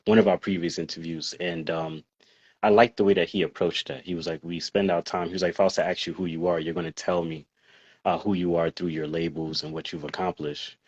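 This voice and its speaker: A man's voice: sits low, steady tone, fairly quick, darkish tone, slightly rough. A man hosting an interview in a laid-back, friendly way with fillers, a bit tired. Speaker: interview host. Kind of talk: casual interview over a call. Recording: dull lo-fi audio.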